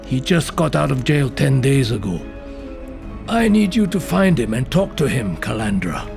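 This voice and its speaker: Gravelly old investigator, music behind. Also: male voice, mid-range voice, big pitch swings, normal speed. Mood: urgent, serious. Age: older. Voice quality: gravelly, creaky. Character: tenacious, gruff old male police investigator. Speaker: actor in audio drama. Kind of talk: scripted audio drama acting. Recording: voice over prominent music bed. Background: dramatic music.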